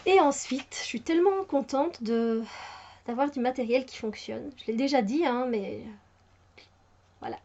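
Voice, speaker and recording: Woman, tarot deck review, fairly high voice, hesitant with fillers, enthusiastic, muffled lo-fi sound. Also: unhurried, a little mellow, a little hiss or hum. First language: French. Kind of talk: casual spoken product review. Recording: muffled lo-fi home recording.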